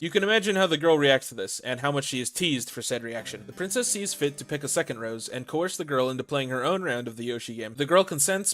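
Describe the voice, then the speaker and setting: A man with a voice a little higher than average hosts a story recap. He reads a script in a casual way and slips in wry, playful asides as he goes. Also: a bit bright. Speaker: video host, narrator.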